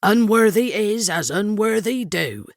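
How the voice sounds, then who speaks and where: A woman's voice: mid-range voice, expressive, unhurried, dry recording, studio quality. Woman putting on a grim, emphatic housemaid. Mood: grimly loyal. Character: grim, loyal, hefty housemaid.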